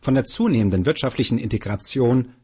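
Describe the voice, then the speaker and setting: A man's voice: medium pitch, expressive, fairly quick, darkish tone, slightly rough. A man reading a political article aloud as scripted narration. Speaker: reader of an audio article.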